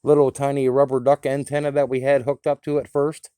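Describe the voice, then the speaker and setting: A man's voice: medium pitch, flat delivery, brisk, dark tone. An American man giving a casual, enthusiastic and plain-spoken product review as a radio hobbyist.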